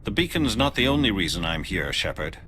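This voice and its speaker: An actor playing a calm, stern male alien agent in a video game, speaking in a measured, deliberate, formal way. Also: lowish voice, slight background noise.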